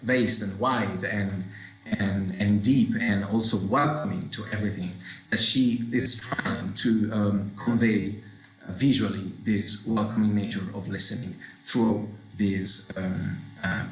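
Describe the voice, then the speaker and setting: A man's voice: on the low side, echoey room, rough recording. A man explaining a score in a webinar talk over a video call, hesitant and searching for words. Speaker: performer presenting a score.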